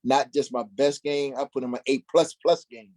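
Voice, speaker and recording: A man with an average-pitched voice talks as a guest actor in an interview. His speech is casual and conversational, with slang and frequent check-in phrases. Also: fairly even intonation, normal speed, darkish tone. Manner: conversational, slangy, uses "you know" often. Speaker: stage play cast member, interview guest. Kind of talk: casual chat.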